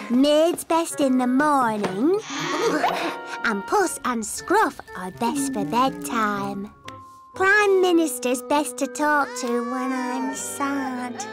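An actor playing an expressive, bossy little princess of about four in a children's cartoon, with emphatic, childlike delivery. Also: high voice, heavily acted. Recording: music behind the voice.

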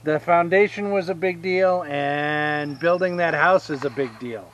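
A man in his fifties with a Southern US accent, giving a casual outdoor tour of his homestead and explaining each step with pauses. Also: fairly high pitch, fairly bright tone, highs cut off. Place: outdoors on rural land by a pine forest. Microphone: action camera held at arm's length.

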